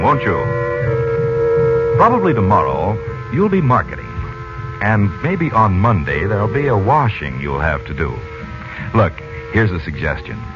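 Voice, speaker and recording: A man announcing a 1940s-style radio serial and sponsor pitch in a folksy, persuasive way. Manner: folksy, persuasive, rhetorical questions. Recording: dull lo-fi old radio, music behind. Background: music.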